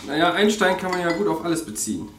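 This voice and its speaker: A man's voice: fairly high pitch, very animated, moderate pace, far from the mic, noisy background. Hesitant, defensive young urban man, roomy sound. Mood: casual, sheepish. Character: evasive male urban tenant on an awkward first date. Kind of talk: naturalistic audio drama acting.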